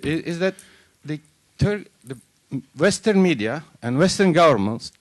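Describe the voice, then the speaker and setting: A man's voice: a little high, lively intonation, measured pace. Man, audience question, hesitant start, then firm and argumentative. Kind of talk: question from the floor.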